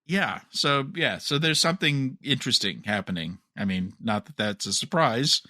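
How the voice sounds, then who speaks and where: A man co-hosting a fan podcast, talking casually and a bit haltingly as he thinks aloud. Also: mid-range voice, lively intonation, pushed and bright, no echo, studio quality. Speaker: podcast co-host.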